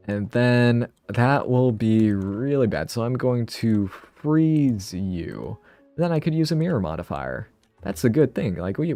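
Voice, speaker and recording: A man's voice: mid-range voice, vocal fry, warm tone. A man casually thinking aloud on a live stream, rambling and interrupting himself, a bit sniffly from a cold.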